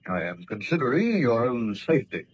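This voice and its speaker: An actor playing a courteous, skeptical ship's captain in an old radio drama, speaking in a formal, measured way. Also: male voice, medium pitch, sing-song, breathy edge, dull audio. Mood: polite, doubtful.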